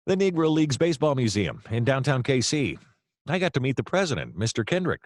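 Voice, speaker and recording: An actor with an American accent playing a hapless, wry male private detective in a noir audio play, deadpan and sometimes flustered. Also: mid-range voice, fairly quick, no echo, clean studio sound, lightly acted. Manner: wry, deadpan narration, hesitant and flustered in dialogue.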